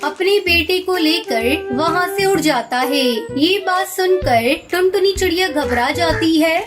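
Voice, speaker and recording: A woman's voice: very high voice, measured pace, over music. A woman narrator reading a scripted moral story for children.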